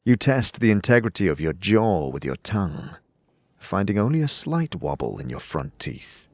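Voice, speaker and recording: A man narrating a fantasy audio drama as game master, in a measured, deliberate and dramatic storytelling delivery. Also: low-pitched, big pitch swings, no room sound. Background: faint music.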